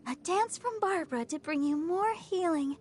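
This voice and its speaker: Super high sweet perky teen idol healer girl, earnest anime game voice, bit of background noise. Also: expressive, moderate pace, darkish tone. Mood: cheerful, grateful. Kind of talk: anime video game voice acting.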